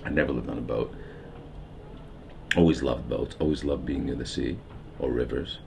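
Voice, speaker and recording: A middle-aged man with a New York accent talks in a meandering, musing way. His voice sits extremely low and has a gravelly fry, with a faint hiss of noise behind him. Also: steady tone, moderate pace. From United States. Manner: meandering, musing with fillers and restarts. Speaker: documentary subject. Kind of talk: reflective interview monologue. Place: houseboat on a river.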